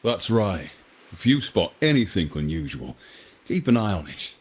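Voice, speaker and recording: Casting: easygoing game henchman, very raspy, lively swooping delivery, breezy and encouraging. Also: low voice, normal speed, exaggerated voice. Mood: jovial, breezy. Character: jovial, easygoing male henchman of a crime family. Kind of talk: video game voice acting.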